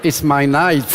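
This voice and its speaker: A man's voice: a little high, slight background noise. Playful older French man on stage. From France. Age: 60s. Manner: coaxing, playful, broken English. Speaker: ventriloquist contestant. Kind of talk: stage act and chat. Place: talent show stage. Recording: loud crowd and music on stage. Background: audience and music.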